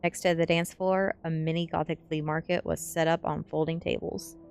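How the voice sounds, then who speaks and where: Low-pitched woman reading a story aloud. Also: level tone, normal speed, gravelly, darkish tone, smooth. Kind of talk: audiobook-style narration of a gothic teen novel. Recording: faint music behind. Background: faint music.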